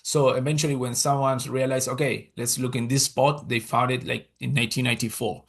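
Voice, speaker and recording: Gravelly-voiced man with an Argentine Spanish accent. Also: medium pitch, little pitch movement, a bit bright. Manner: halting, many fillers and restarts. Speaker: guest speaker, conservation scientist. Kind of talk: webinar presentation. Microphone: computer mic on a video call.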